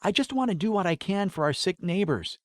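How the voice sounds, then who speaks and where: Male narrator as elf girl, high voice, lilting, gentle, earnest, cheerful, fantasy audiobook. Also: expressive, brisk, no room sound, studio quality. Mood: earnest, bashful. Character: kind elf girl, sweet, earnest and bashful. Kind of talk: fantasy audiobook character dialogue.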